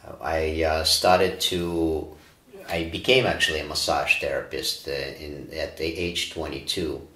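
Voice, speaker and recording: A man in his forties from Ukraine, a breathwork teacher, answering interview questions in a calm, reflective way with frequent fillers. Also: very deep voice, a little slow. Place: bright studio room, indoors. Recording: roomy studio echo.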